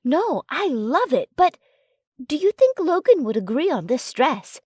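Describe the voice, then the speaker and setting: A woman reads as a young romance heroine, timid and grateful. The voice is high with plenty of movement in pitch, in a dry recording. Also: big pitch swings, unhurried, warm tone, dry recording. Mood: worried.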